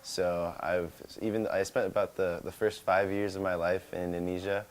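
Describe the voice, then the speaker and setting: A man's voice: deep voice, little pitch movement, moderate pace. A young American man from California, founder of a small company, talking casually in an interview with many restarts and Indonesian words mixed in. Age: young adult.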